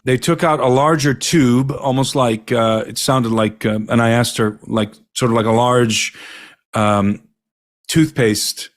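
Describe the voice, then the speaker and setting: A man's voice: mid-range voice, fairly even intonation, measured pace. A man, an investigator, earnestly recounting a witness's account in detail, often repeating himself as he gathers his words. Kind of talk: recounting an interview.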